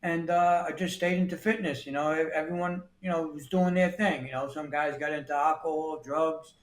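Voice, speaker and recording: A man in his sixties from New York City, speaking a little higher than most men. He talks plainly and often restarts his sentences. His voice rings in an echoey room. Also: fairly even intonation, moderate pace. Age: 60s. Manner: plain-spoken, repeats words and restarts often. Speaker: guest. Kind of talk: casual interview talk.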